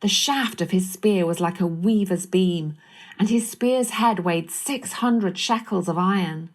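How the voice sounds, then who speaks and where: A woman's voice: fairly low pitch, unhurried. A woman reading scripted narration of a Bible story.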